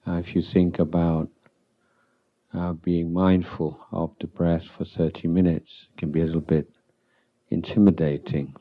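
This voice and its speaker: A man teaching meditation as a monk, giving calm, unhurried guided instructions with long halting pauses. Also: low-pitched, narrow, tinny audio.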